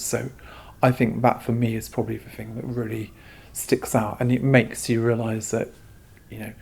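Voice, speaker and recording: Husky, even-pitched man reflecting earnestly. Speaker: exhibition curator being interviewed. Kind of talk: explanatory interview talk.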